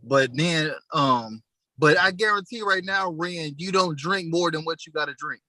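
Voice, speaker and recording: A man's voice: fairly high pitch, normal speed, pushed and bright, no echo. A young man talking casually on a podcast, telling laid-back stories with plenty of slang. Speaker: podcast co-host. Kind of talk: casual chat.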